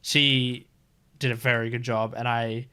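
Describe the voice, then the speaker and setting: A man's voice: mid-range voice, little pitch movement, measured pace, bright, forceful tone, smooth. A man hosting a reaction video, giving casual, gushing and enthusiastic commentary.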